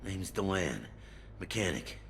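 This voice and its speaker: An actor playing a rattled, resentful colony mechanic in a video game, suspicious and grumbling. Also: male voice, low voice, very animated, unhurried, a bit bright, long reverb tail, slight background noise, exaggerated voice. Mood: shaken. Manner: grumbling, agitated.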